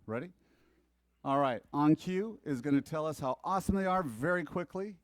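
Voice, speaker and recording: A man hosting an office team meeting, quickly reading out sponsor names and joking with the room. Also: on the high side, expressive, no room sound. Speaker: meeting host. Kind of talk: upbeat office meeting emcee.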